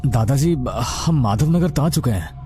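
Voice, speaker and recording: A man's voice: medium pitch, lively intonation, a little slow, dark tone, soft background music, voice pushed up, natural voice. A man voicing an earnest, respectful young grandson in a horror cartoon. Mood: reassuring.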